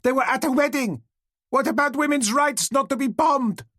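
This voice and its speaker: Man reading as a shrill young woman agitator in an audiobook, high and loud, defiant. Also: a little fast, no room sound, studio quality. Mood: yelling. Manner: shrill, yelling accusations.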